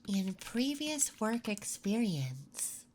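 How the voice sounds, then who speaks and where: A woman playing a curious, attentive interviewer in an unhurried, intimate, soft-spoken ASMR roleplay. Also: mid-range voice, very animated, a little hiss or hum, rough recording. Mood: softly intrigued. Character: curious, attentive female interviewer in a late-night office. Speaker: ASMR performer.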